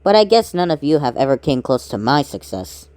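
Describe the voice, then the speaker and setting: A woman doing amateur voice acting for a fan-made animated cartoon. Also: rumbling low, a little fast, no room sound, clean studio sound.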